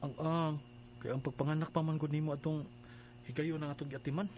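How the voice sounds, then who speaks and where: A man's voice: fairly high pitch, little pitch movement, fairly quick, a little mellow, a little hiss or hum, natural voice. A man acting an earnest family man in a radio drama, explaining things with emphasis. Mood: reassuring.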